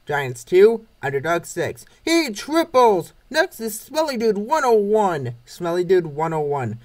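A man's voice: high voice, big pitch swings, a little slow, a little mellow. A man reading scripted play-by-play narration of a comic baseball game. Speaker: narrator of a comic dub series.

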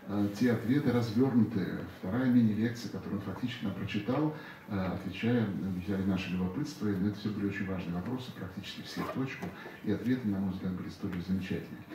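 Fast formal man closing a conference. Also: on the low side, dark tone. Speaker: conference chair. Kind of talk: closing remarks. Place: large lecture hall. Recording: echoey hall, far off, faint hiss.

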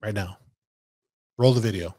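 A man's voice: lowish voice, moderate pace, mellow. Upbeat joking male podcast host. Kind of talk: lively on-site podcast chat. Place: office meeting room. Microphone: desk podcast mic.

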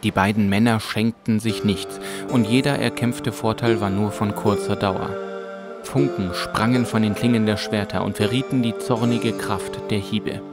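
A man reads a fantasy audiobook in a calm, measured way. His voice sits fairly low, and a clear musical score runs beneath the narration. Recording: music bed under narration.

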